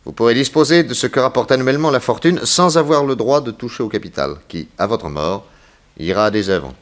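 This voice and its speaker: An actor playing a shrewd, insistent private detective in a 1960s French radio serial, with a probing, ironic, theatrical manner. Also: male voice, medium pitch, fairly quick, lightly acted. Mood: pressing, accusing.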